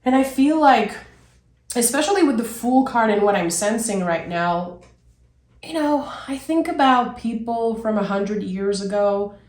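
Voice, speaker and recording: Raspy-voiced woman in her thirties, unhurried. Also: fairly high pitch, lively intonation, echoey room. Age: 30s. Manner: warm-hearted, enthusiastic, musing aloud with many fillers. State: hoarse from illness. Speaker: tarot reader. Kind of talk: casual spoken tarot reading.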